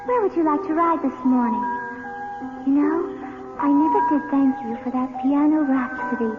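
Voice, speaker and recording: Actress as high, sweet, clinging ghost girl. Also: normal speed, natural voice. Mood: sweet, eerie. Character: ghost of a sweet, trusting, clinging young woman. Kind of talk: 1970s radio drama acting. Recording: tinny old radio, music behind.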